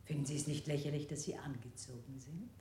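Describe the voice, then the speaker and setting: An actress playing a cold female interrogator with hushed, sneering questions. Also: rumbling low, fast, echoey room, a little hiss or hum.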